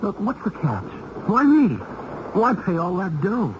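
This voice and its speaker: An actor playing a wry, skeptical, baby-faced American cop in a 1970s radio drama, talking in hard-boiled slang. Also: male voice, high-pitched, wildly swooping pitch, a little slow, full character voice. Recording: noisy, dull old radio recording.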